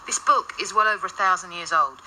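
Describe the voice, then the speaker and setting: An actress playing a brusque, sardonic paranormal investigator in a radio drama, dry and cutting in manner. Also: female voice, fairly low pitch, fast, pushed and bright, a little hiss or hum, low-quality recording. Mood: brusque, assured. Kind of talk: naturalistic radio drama acting.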